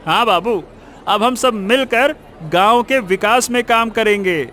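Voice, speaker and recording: A man's voice: sits high, unhurried, sharp tone, some chatter behind, slight background noise. A man voicing an eager, agreeable villager in a cartoon story, deferential in manner. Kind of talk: scripted cartoon story acting.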